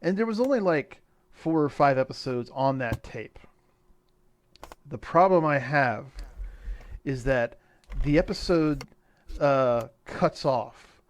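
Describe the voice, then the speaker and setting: A man's voice: medium pitch, lively intonation, takes it slowly, long pauses. A man co-hosting a podcast in casual comedic chat, with a jokey, mock-formal announcing manner and the odd swear. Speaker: podcast co-host.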